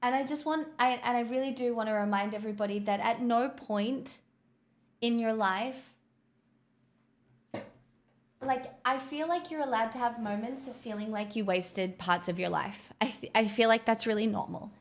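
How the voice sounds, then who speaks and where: A woman's voice: on the high side, moderate pace. A young woman streamer chatting casually and rambling to her viewers. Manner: chatty, rambling, talks to chat.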